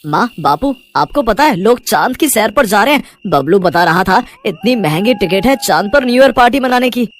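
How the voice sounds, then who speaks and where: A man's voice: high-pitched, animated, moderate pace, few pauses, pitched above the performer's own voice. A man voicing an eager, pleading young child in a cartoon moral story. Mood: excited.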